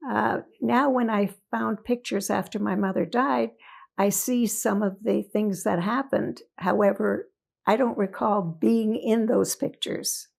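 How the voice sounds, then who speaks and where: An elderly woman in her eighties, originally from Hungary, recalls her life in a recorded interview. Her voice is heavily gravelly and she speaks very slowly, pausing often and filling gaps with hesitations. Her pitch sits in a middle range for a woman. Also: fairly even intonation, darkish tone. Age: 80s. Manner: slow and halting, many pauses and fillers. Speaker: interviewee giving testimony. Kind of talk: recorded oral history interview. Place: indoors in Toronto.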